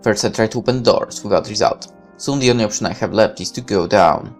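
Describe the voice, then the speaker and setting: A man reading a visual novel aloud as a let's player, in expressive narration broken up by casual asides. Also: fairly low pitch, fairly quick, soft background music.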